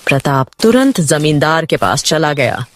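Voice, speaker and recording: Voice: medium pitch, big pitch swings, a little slow, fairly bright tone. A narrator reading a scripted story in a dramatic storytelling style.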